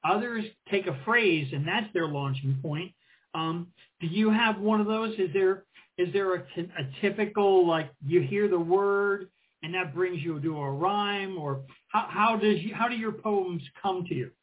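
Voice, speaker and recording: Husky-voiced middle-aged man hosting. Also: high-pitched, a little slow. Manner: friendly, hesitant with many restarts and ums. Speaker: co-host. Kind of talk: casual interview conversation. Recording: tinny phone-line audio.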